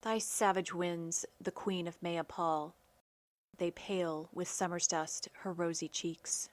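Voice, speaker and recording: A woman hosting a poetry program, reading scripted narration and verse with measured, deliberate phrasing. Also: fairly low pitch, fairly even intonation. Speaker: poetry program host and reader.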